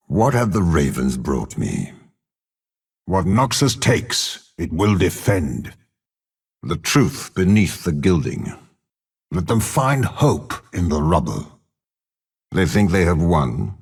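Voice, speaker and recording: An imperious, ruthless old warlord from a dark fantasy game. The voice sits extremely low and is thick with gravel. He speaks with measured, haughty menace, swinging widely in pitch like someone who shares his body with a demon. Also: big pitch swings, full character voice. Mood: cold menace. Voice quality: very deep, gravelly, rasping. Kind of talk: dramatic video game character voice lines.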